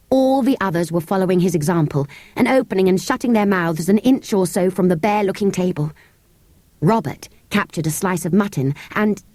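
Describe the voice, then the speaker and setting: Animated British woman reading a storybook. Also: on the low side, no room sound. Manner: expressive, measured storytelling. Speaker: audiobook narrator. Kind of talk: scripted children's storybook narration. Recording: close, dry cassette tape recording.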